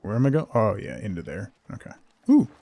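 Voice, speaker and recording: A man's voice: on the low side, wildly swooping pitch, a little fast, warm tone. A man giving casual live game commentary, playfully muttering asides and short exclamations as he chats to viewers. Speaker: game streamer.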